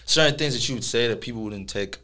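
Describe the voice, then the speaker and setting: A man's voice: medium pitch, fast talker, bright, forceful tone. A young man from Nigeria hosting a casual interview, easygoing and conversational. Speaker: interviewer, host.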